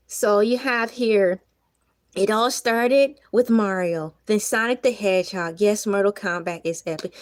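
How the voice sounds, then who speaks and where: Slightly hoarse woman chatting with viewers. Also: medium pitch, no echo. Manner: chatty, earnest, reflective, laughs often. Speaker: livestream host. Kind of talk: casual livestream chat with viewers.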